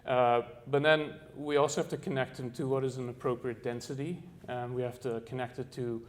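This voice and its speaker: A middle-aged man who grew up in the Netherlands, giving an architecture presentation in a halting way, with frequent fillers and restarts. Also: medium pitch, little pitch movement. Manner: halting, many fillers and restarts, searching for words. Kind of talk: presentation to an audience.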